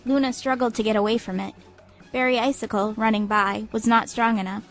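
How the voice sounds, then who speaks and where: A woman narrator reads a scripted story in dramatic audiobook style. Her voice sits slightly high, and music plays softly behind it. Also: steady tone, fairly quick.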